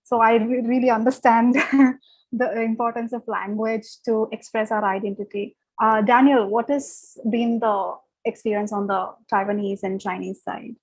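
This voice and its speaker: A woman moderates a panel discussion over a video call, encouraging speakers and using fillers as she goes. Her voice is somewhat high. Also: flat delivery, moderate pace, poor audio.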